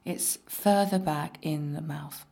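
A woman comments as an accent analyst, explaining in a hesitant way with many pauses. Her voice is very low for a woman, and she drags her words out at an extremely slow pace. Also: creaky, mellow.

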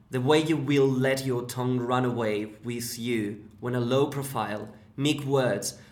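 A man's voice: medium pitch, natural voice. A man with a Spanish accent reading the part of an exasperated husband who lectures his wife. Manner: reproachful, lecturing. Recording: roomy echo.

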